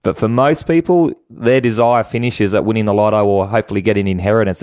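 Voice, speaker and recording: A man with an Australian accent talks business in a conversational interview. His voice sits fairly low, and he pitches his points persuasively and with emphasis. The sound is dry, with no room around it. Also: darkish tone, no room sound. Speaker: guest, home business entrepreneur. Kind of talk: conversational radio interview, motivational business talk.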